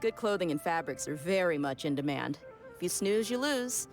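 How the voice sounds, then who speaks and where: A woman's voice: lowish voice, animated, moderate pace, soft background music. A woman voicing a confident, easygoing, friendly female fighter in an anime video game. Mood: breezy, friendly. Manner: casual, assured.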